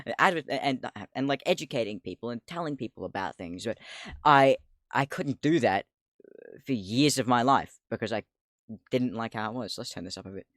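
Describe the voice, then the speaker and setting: Deep-voiced guy in his 20s rambling on stream, laughs a lot, swears, keeps losing his train of thought, dry close sound. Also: animated, normal speed, a bit bright, dry recording. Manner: rambling, easily distracted, laughs often, swears. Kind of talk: casual livestream chat.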